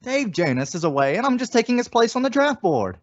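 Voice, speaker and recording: A man's voice: very high-pitched, sing-song, brisk, highs cut off. A man playing a flustered, well-meaning young husband in an old radio sitcom, hesitant and given to exclaiming. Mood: surprised, defensive. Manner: hesitant, exclaiming comic delivery. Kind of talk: 1950s radio sitcom acting.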